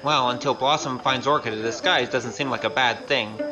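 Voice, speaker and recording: A woman voicing a wry, quick-witted young male trickster in a kung fu audio drama. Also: very deep voice, muffled recording, over music. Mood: pleased, sly. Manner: wry, casual, scheming.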